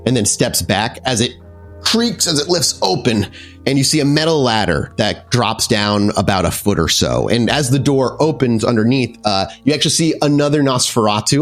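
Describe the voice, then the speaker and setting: A man narrating a tabletop horror game as game master, improvising wordy scene descriptions with casual asides. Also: mid-range voice.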